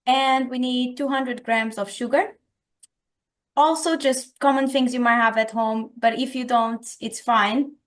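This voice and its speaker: A woman from Portugal with a Portuguese accent, explaining a recipe step by step in a calm, patient way. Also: fairly high pitch, unhurried. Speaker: guest instructor. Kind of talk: casual recipe instruction.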